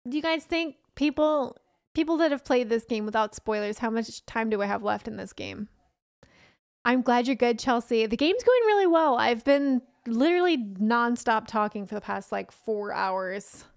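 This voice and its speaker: Hoarse, chatty young woman streamer. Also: a little high, animated, moderate pace. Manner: chatty, rambling, talks to chat. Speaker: game streamer. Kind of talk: casual live-stream chat.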